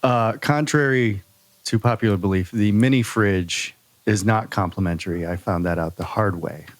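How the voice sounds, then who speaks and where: A man's voice: on the low side, moderate pace, no echo. A man co-hosting a podcast in a casual, joking conversational way. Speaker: podcast co-host. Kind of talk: casual chat.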